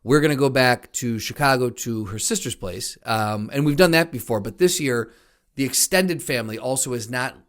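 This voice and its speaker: A man from the United States chats as a podcast co-host, his voice sitting at a middle pitch. He talks in an easygoing, playful way, trading banter in casual conversation. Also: normal speed.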